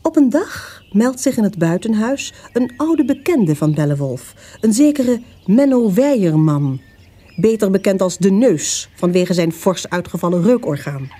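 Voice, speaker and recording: A woman narrating a radio play in a measured, formal storytelling manner. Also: medium pitch, very animated, warm tone.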